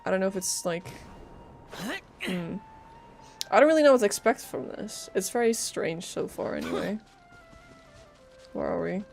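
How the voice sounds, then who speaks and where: A woman's voice: mid-range voice, animated, normal speed, vocal fry, pauses often, darkish tone. A woman live-streaming a game with casual, chatty and playful commentary. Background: faint game music.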